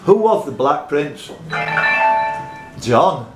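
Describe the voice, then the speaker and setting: Animated male quiz host in an echoey room. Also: on the high side, very slow, loud music behind the voice. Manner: jokey, teasing banter with contestants. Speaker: quiz host and question reader. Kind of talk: live pub quiz hosting with banter. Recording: echoey room with audience noise. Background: crowd of quiz players.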